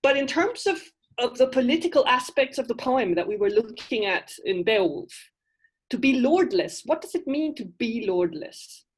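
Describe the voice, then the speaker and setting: A middle-aged woman teaching a university literature class from home, speaking through a headset on a video call, animated and often asking "right?". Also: on the high side, normal speed, husky. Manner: animated, gestures while explaining, asks "right?" often. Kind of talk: online class discussion. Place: home room with bookshelves.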